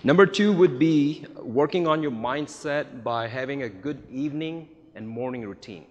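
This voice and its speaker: A man in his forties from India, giving earnest, somewhat halting answers in an on-stage interview. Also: mid-range voice, highs cut off. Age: 40s. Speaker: real estate agent, guest speaker. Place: large event hall with audience. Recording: echoey hall with faint noise.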